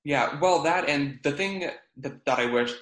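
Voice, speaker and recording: A man's voice: a little high, unhurried, a bit bright. A man in his twenties co-hosting a podcast, talking casually with a stutter, repeating sounds and getting stuck on blocks. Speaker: podcast co-host. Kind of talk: casual chat. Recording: roomy echo.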